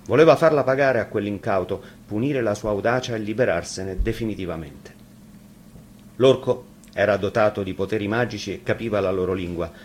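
A man's voice: fairly low pitch, a little fast, slight background noise. A man reading a fairy tale expressively, as a storyteller.

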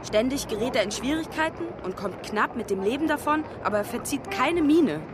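A woman's voice: high voice, animated, brisk. A woman playing a light, chatty sixteen-year-old girl in a radio drama. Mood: amused. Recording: noisy outdoor street ambience with traffic.

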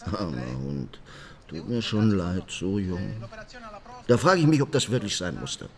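An actor playing a cynical, insinuating Sicilian mafioso who confides and threatens in a German radio crime drama. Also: male voice, sits low, sing-song, a little slow, heavily acted. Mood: cynical musing.

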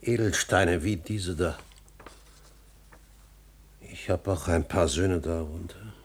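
An actor playing an old, grieving manservant in a classical German radio drama, weary, restrained and bitterly ironic. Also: male voice, low-pitched, slow, breathy edge, exaggerated voice. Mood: bitter grief.

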